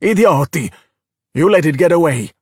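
A man's voice: medium pitch, expressive, normal speed, breathy edge, dry recording, studio quality. A man voicing a blustering Italian bully who barks bossy orders in a storybook. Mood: furious, commanding. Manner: shouting, bossy. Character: bullying, blustering Italian harpoon-wielding villain. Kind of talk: children's storybook character voice.

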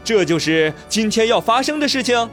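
Play as a man voicing a curious young reporter in an audio drama: pitch your voice high and let it swing widely, questioning and doubtful. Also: animated, normal speed, a bit bright, faint music behind. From Shandong, China. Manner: probing, skeptical questions. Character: curious young male reporter, skeptical and probing. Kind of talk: amateur radio drama.

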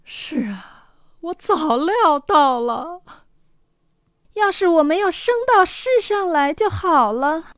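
A proud young girl from a storybook tale, speaks in a piercingly high, dry-recorded voice. She is bitter and plaintive, dragging her words out slowly as she pities herself. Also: animated, fairly bright tone, dry recording, exaggerated voice. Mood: resentful, regretful. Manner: plaintive, drawn-out, self-pitying. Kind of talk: dramatized fairy tale acting.

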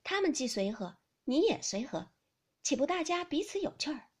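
A woman voicing a gentle, conciliatory young maidservant in an audiobook of a classical Chinese novel. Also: sits high, big pitch swings, moderate pace, voice pushed up. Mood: coaxing, concerned.

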